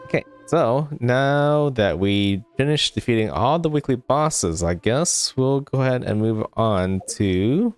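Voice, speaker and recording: A man's voice: mid-range voice, lively intonation, measured pace, few pauses. A man livestreaming a video game, giving casual, rambling commentary as he thinks aloud. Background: game music.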